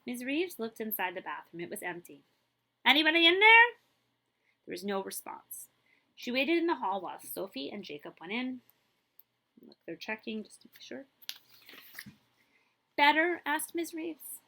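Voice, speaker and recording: A woman reading a children's picture book aloud as a librarian, expressively, pausing for asides to her listeners. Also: on the high side, creaky, a little hiss or hum, poor audio. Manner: animated, checks in with listeners.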